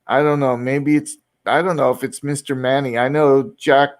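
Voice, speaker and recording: A man's voice: medium pitch, level tone, normal speed. A man in his sixties, an English teacher, chatting casually in a rambling, reflective, self-deprecating way. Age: 60s. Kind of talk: casual group chat.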